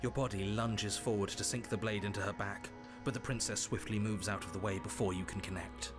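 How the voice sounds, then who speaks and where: Low, commanding British storybook narrator played by a man. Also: fairly even intonation, over music, close to an everyday voice. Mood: stern. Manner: measured, solemn, commanding. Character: stern British male narrator of a dark fantasy tale. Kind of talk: storybook narration in a visual novel game.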